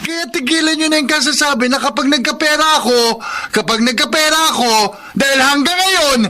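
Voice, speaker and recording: An actor with a Filipino accent playing a scornful, resentful seventeen-year-old son in a radio drama. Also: male voice, very high voice, a little fast, pushed and bright, heavily acted. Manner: scornful, demanding.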